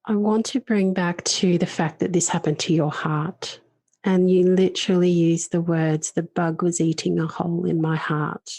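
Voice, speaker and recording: A woman's voice: fairly low pitch, steady tone, normal speed, warm tone, dry recording. A woman hosting a podcast, talking earnestly and reflectively about her own experiences. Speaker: podcast host.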